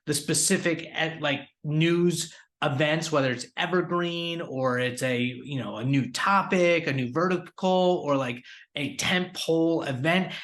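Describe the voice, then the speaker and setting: A man's voice: on the high side, fairly bright tone. A man hosting a weekly industry podcast, recapping news in an upbeat, conversational way with fillers and restarts. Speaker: podcast host.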